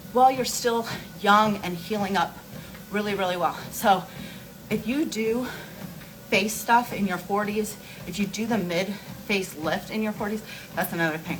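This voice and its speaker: A woman of about forty talks to her followers in a casual live-stream style, at a middle pitch. She is candid and informal, repeating words for emphasis. The audio carries clear noise behind her voice. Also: moderate pace. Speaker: host of a beauty and wellness live stream.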